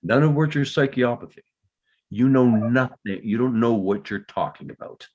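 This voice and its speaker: A man's voice: mid-range voice, normal speed, a little mellow, dull audio, close to an everyday voice. A man playing a defensive, guilt-ridden father in a dramatic script read, terse and insistent. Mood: indignant, insistent.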